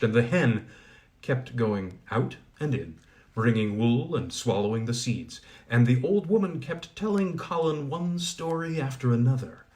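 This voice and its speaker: A man narrates an old fairy tale aloud as a storyteller. His mid-range voice rises and falls with animation, giving the reading an expressive, lively flow. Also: mid-range voice. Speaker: audiobook reader.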